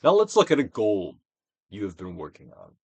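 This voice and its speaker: A man giving a dramatic, Shakespearean-style reading of a self-help book, deliberate and theatrical, with drawn-out emphasis. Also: wildly swooping pitch, husky, dry recording. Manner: theatrical Shakespearean delivery, swinging from hushed to booming. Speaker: audiobook reader.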